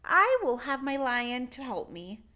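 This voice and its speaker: A woman voicing a prim, composed female head librarian in a children's storybook, measured and proper. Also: high voice, very animated, sharp tone, voice pushed up, exaggerated voice. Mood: quiet.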